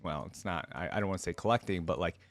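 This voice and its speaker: Male reaction host, lower register, casual conversational read, dry recording. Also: quick pace, no echo, faint music behind. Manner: informal, conversational asides with frequent "like". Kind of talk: casual reaction commentary mixed with reading aloud.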